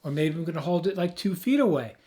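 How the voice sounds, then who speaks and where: Hoarse man, emphatic tech explainer. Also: on the high side, animated, fast talker, warm tone. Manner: emphatic, rambling, with frequent restarts. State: hoarse, has lost his voice. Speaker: tech video host. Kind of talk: off-the-cuff explainer.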